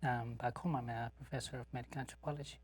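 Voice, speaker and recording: A man from Ethiopia, a professor and studio interview guest, speaking in a measured way with many fillers. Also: mid-range voice, steady tone, slightly rough, dry recording.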